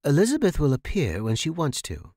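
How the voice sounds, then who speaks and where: A man's voice: on the high side, wildly swooping pitch, brisk, warm tone, dry recording, studio quality, pitched above the performer's own voice. A man voicing a stern, imperious woman who runs a household in a story, speaking curtly. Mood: stern, commanding. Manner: curt, imperious, growling. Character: stern, commanding older woman running a household.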